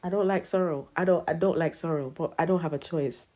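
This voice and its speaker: A woman's voice: low-pitched, a little fast. A woman, ranting casually and swearing freely in short social media clips. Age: 30s. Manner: brash, sweary, animated. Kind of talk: casual storytime rants.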